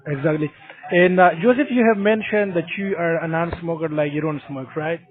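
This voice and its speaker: A man's voice: a little high, moderate pace. A man working as a call center agent, politely and agreeably running a scripted sales call. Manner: polite, agreeable, repeats "exactly". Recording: narrow phone line.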